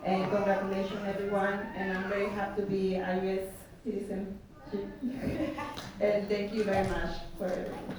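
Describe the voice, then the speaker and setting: A woman's voice: mid-range voice, level tone, moderate pace, heavy background noise. A woman from Mexico, a new citizen, sounding cheerful as she thanks everyone. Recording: distant echoey hall with crowd.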